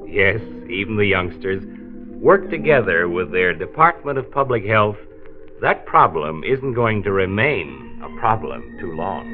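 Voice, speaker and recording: An actor narrating in an earnest American voice, in the style of a 1940s public service radio drama. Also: male voice, lowish voice. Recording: muffled 1940s radio transcription, music behind.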